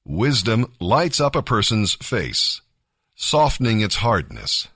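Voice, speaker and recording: Deep-voiced man reading scripture, expressive. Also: takes it slowly, husky, no room sound, clean studio sound. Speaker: Bible reader, narrator.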